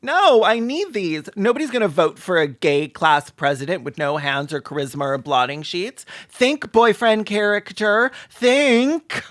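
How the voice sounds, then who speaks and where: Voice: mid-range voice, expressive, a little slow, hardly any pauses, a bit bright, dry recording. A comedic commentator reacting to videos with sarcastic, campy, playful delivery and mocking asides. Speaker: YouTube host and commentator.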